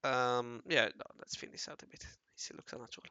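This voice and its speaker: A man with a raspy voice talking casually to himself while he draws, mumbling and correcting himself as he goes. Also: mid-range voice, fairly quick, pushed and bright, no room sound, lo-fi sound. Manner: mumbling and self-correcting, often murmuring half to himself. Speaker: hobby artist narrating his drawing session. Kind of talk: casual think-aloud commentary while drawing.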